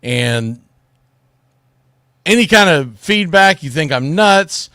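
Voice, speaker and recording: A man's voice: on the high side, lively intonation, takes it slowly, bright, forceful tone, dry recording, studio quality. A man hosting a solo sports talk show, giving commentary in an informal, opinionated way.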